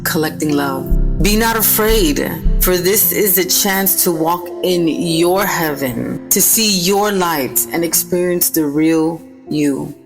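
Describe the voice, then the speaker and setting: A woman from the Bronx reciting spoken word poetry with deliberate, dramatic phrasing. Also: fairly low pitch. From Bronx, New York. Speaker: poet. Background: loud music backing.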